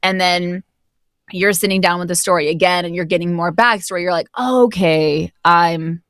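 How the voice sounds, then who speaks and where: A woman with a slightly low voice talks casually as a guest in an interview. She explains her thoughts reflectively, in a conversational flow. The recording is dry, with very little room sound. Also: fairly even intonation, normal speed, a bit bright, dry recording. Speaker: interview guest, audio drama narrator.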